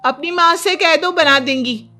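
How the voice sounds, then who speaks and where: A woman voicing a quarrelsome, sharp-tongued young wife who complains and retorts in a cartoon moral story. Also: sits high, unhurried, faint music behind, voice pushed up. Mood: indignant, complaining.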